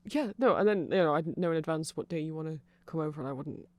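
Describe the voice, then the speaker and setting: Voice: mid-range voice, fast, a little mellow, no room sound, close to an everyday voice. A performer voicing a shy, apologetic nerdy vampire in an ASMR roleplay, speaking in a hesitant, rambling way. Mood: shy, flustered. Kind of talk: ASMR roleplay addressed to the listener.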